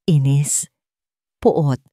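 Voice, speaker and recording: Female narrator, Filipino accent, lower register, scripted romantic drama, dry studio-like sound. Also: extremely slow, dark tone, no room sound.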